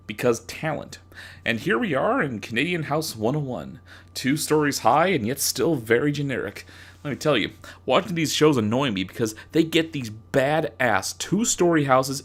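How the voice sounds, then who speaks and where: A man's voice: medium pitch, fairly bright tone. A young adult man doing a comedic dramatic reading as narrator, sarcastic and crude, with theatrical emphasis. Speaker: narrator reading own fanfiction.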